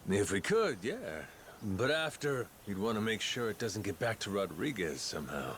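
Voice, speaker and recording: A man playing a brash, rough middle-aged pirate captain in a video game, slangy, cocky and theatrical. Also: fairly low pitch, big pitch swings, normal speed, a bit bright, a little hiss or hum. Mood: wry, playful. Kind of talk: video game cutscene acting.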